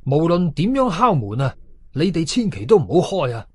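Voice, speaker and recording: A man's voice: fairly high pitch, very animated, moderate pace, a little mellow, slightly rough, dry recording. Man playing a stern, commanding Taoist exorcist. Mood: grave, commanding. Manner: commanding, declamatory.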